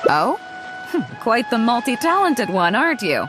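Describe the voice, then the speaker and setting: A self-assured female ship captain in a game, teasing and quick to laugh. Her voice sits a little high and rides up and down freely, with soft music behind. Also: very animated. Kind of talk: game cutscene voice acting.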